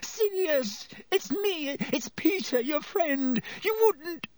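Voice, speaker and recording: A man voicing a terrified, cowardly middle-aged man in an audiobook, with a thin squeaky voice and gasping, whining, pleading delivery. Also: very animated, drawn-out words, fairly bright tone, dry recording, heavily acted. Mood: panicked pleading. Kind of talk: audiobook character voice.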